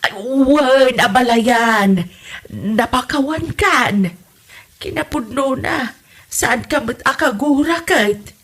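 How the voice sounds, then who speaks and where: A woman's voice: sits high, slow, a bit bright, breathy edge, full character voice. A woman playing an older Ilocano widow in a comedy drama, coy, huffy and given to teasing exclamations. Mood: coy, yearning. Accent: Filipino, Ilocano.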